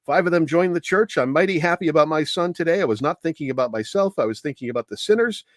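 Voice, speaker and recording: Man telling history in a measured way, dry sound. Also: fairly high pitch, lively intonation, no room sound. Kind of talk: informal historical talk with anecdotes.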